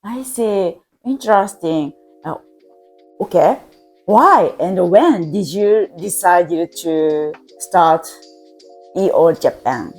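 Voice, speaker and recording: Slow-speaking woman interviewer. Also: fairly low pitch, expressive, faint music behind. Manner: short encouraging responses, simple questions. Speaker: interviewer, host. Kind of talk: casual interview conversation.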